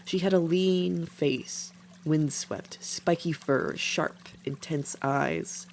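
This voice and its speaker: A storyteller narrates scripted fiction in a voice of middle pitch. Faint noise runs behind the reading. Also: slow, vocal fry.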